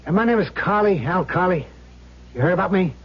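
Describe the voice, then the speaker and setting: An actor playing a menacing, insinuating neighborhood crime boss in a radio drama, talking with swagger. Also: male voice, sits high, lively intonation, fairly quick, husky. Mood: menacing flattery. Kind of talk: old-time radio drama acting. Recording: muffled old radio recording.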